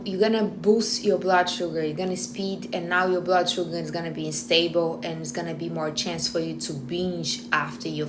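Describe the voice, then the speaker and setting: A woman's voice: on the low side, noisy background. A woman in her thirties from Brazil, with a strong Brazilian Portuguese accent and Portuguese as her first language, chatting casually to camera between bites of food and often restarting her sentences. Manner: chatty, talks while eating, restarts often. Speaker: vlogger.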